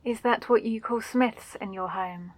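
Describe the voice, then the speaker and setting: A woman voicing a friendly, caring and curious female mage in a fantasy roleplay audio, speaking gently and attentively. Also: a little high, measured pace, no room sound. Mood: curious, eager. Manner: gentle, eager, attentive questioning. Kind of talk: roleplay audio drama for the listener.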